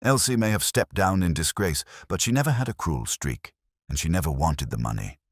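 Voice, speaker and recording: A man voicing an ashamed, frightened village woman, soft-spoken and anxious, in an audio mystery story. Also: deep voice, sing-song, fairly quick, no echo, studio quality. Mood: reluctant.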